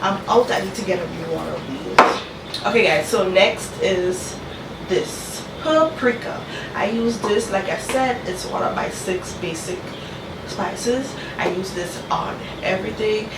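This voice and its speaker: A woman's voice: mid-range voice, a little slow, very noisy. A woman with a congested, nasal voice from a cold, chatting casually as host of a cooking vlog and often saying "you guys" and "okay". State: congested from a cold, talking through her nose. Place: home kitchen. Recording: echoey room, mic at a distance.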